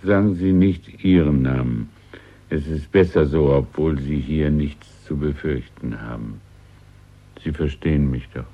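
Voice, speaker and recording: An actor in a radio play voicing a courteous, melancholy old shopkeeper, speaking gently and hesitantly in a husky voice. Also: male voice, rumbling low, a little slow, heavily acted. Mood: confiding. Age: older. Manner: gentle, wistful, hesitant, confiding. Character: courteous, melancholy old antique shop keeper. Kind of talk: German radio play acting. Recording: dull, lo-fi old radio production.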